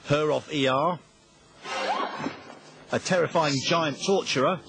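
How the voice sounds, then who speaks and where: A man's voice: a little high, slow, gravelly, background noise. A British man delivering scripted documentary narration in a wry, tongue-in-cheek way.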